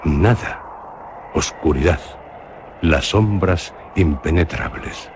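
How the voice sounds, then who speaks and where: Deep-voiced narrator of a horror radio drama. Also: male voice, background noise. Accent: Spain. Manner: slow, grave, measured storytelling.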